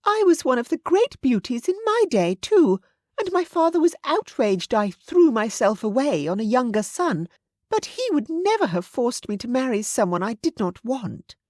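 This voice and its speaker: A woman's voice: on the high side, very animated, moderate pace, a little mellow, dry recording, studio quality, pitched above the performer's own voice. A woman voicing an older aristocratic widowed mother, fond, chatty and assured, in an audiobook story.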